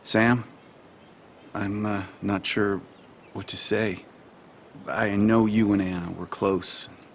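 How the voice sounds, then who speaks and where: A man playing an awkward, sombre acquaintance in video game drama, speaking hesitantly and haltingly. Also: on the low side, little pitch movement, a little hiss or hum. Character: awkward, sombre male acquaintance offering condolences.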